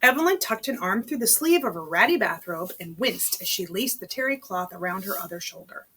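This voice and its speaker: A woman's voice: mid-range voice, animated, a little fast, pushed and bright, a little hiss or hum. A woman reading a story aloud informally, breaking off for conversational asides to her listener. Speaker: amateur read-aloud reader. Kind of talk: reading a novel aloud with chatty personal asides.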